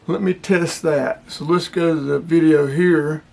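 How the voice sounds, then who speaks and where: A man speaks in a voice pitched a little higher than average. He sounds like a hobbyist vlogger walking through a product demonstration. His delivery is casual, plainspoken and explanatory. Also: steady tone, unhurried, creaky, dark tone.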